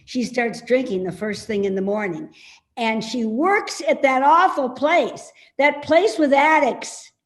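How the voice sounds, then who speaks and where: A woman in a play reading, playing a suspicious, complaining elderly mother who nags indignantly. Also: high-pitched. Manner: nagging, indignant, slow and insistent. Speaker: actor in a readers theater play. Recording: roomy, echoey remote reading.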